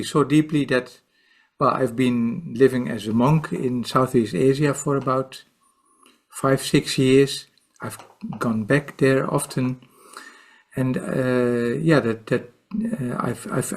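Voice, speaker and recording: Guest, Dutch man, 60s, unhurried, hesitant, lots of fillers and restarts. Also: mid-range voice. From Netherlands. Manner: slow, halting, with many repeated words and fillers. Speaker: guest, meditation teacher and author. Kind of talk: podcast interview.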